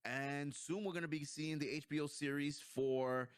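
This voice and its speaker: A man's voice: a little high, steady tone, normal speed, smooth, dry recording, studio quality. A man co-hosting a casual movie review, chatting in a blunt, opinionated, slangy way. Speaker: co-host of a video game movie review. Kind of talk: casual review chat between friends.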